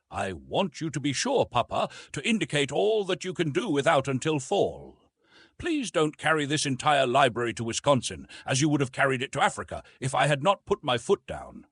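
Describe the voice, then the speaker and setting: A proud, self-possessed young woman of an old storybook romance, voiced by a male narrator. She speaks in cool, articulate sentences with a slightly husky tone and lively emphasis. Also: fairly high pitch, a little fast, no echo, pitched above the performer's own voice, full character voice. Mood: polite, brisk. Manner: cold, level, articulate.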